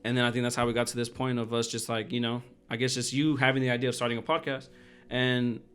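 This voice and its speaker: A man's voice: mid-range voice, little pitch movement, quick pace, a bit bright, clear, resonant tone. A man in his twenties co-hosting a podcast, talking casually and earnestly and often repeating his phrases. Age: 20s. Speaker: podcast co-host. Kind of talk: casual personal chat.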